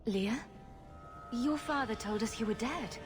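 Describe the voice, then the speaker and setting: A woman's voice: on the high side, measured pace, in a large hall, over music, lightly acted. A woman playing a sarcastic, wisecracking rogue adventurer in a video game, with a dry, unimpressed manner. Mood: concerned. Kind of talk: video game dramatic acting.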